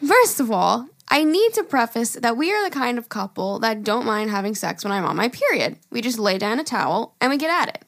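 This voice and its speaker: Young woman co-host, crude jokey chat, animated and high. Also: a little fast, hardly any pauses. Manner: giggly, animated, crude joking. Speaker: podcast co-host. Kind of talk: casual chat, reading listener stories aloud.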